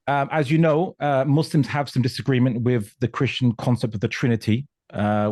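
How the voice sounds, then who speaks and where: Halting, emphatic man explaining his views. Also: medium pitch, darkish tone, no echo, clean studio sound. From Portugal. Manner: emphatic, halting, often repeating words. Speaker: guest commentator on religion and politics. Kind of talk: explanatory discussion.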